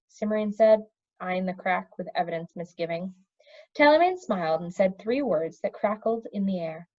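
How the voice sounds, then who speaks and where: A woman reading a fantasy story aloud in an expressive storytelling manner. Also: mid-range voice, muffled recording. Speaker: audiobook reader. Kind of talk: reading a children's fantasy novel aloud with character voices.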